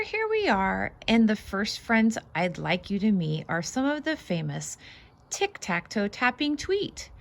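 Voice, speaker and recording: A woman reading a children's picture book aloud as host, expressive and sing-song, stressing the rhymes and adding casual asides. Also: mid-range voice, vocal fry.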